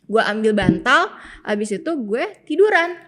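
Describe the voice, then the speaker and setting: A woman's voice: fairly high pitch, big pitch swings, moderate pace, fairly bright tone. A young adult woman with a Jakarta Indonesian accent chats casually as a podcast co-host, informal and slangy, often chiming in to agree. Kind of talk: casual chat.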